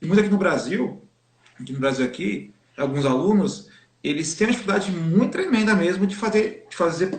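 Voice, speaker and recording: A man's voice: fairly high pitch, roomy sound, a little hiss or hum. A Brazilian man asking a teacher questions in a live stream conversation, hesitant and searching for words. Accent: Brazilian Portuguese. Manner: hesitant, many fillers and restarts. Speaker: interviewer.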